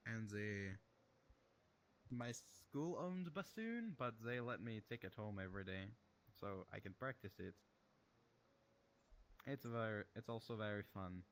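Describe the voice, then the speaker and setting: Low-voiced man, light Russian accent. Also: lively intonation, measured pace, long pauses. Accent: Russian-tinged American. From United States. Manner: rambling, easygoing, restarts often. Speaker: streamer. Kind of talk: casual chat in a social VR game. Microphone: headset.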